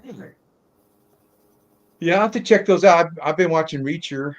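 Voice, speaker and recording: A man's voice: on the high side, moderate pace, lo-fi sound. A man hosting a movie talk show in a casual, conversational style.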